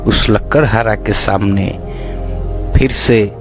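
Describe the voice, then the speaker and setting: A man's voice: lowish voice, fairly even intonation, slow pace. A man narrating a scripted moral story for children, with music playing behind him.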